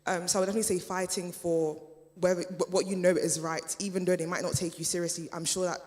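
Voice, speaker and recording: A woman who grew up speaking German gives a considered panel answer, pausing often on fillers. Her tone stays level and even throughout. The sound carries a long reverberant tail from a church hall. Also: fairly low pitch. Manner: measured, hesitant, many fillers.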